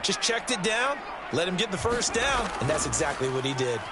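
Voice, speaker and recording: A man's voice: sits high, sing-song, moderate pace, heavy background noise. A man playing a conversational American football color analyst in a video game, explaining plays with an American accent. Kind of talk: sports analysis commentary. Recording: loud game music behind.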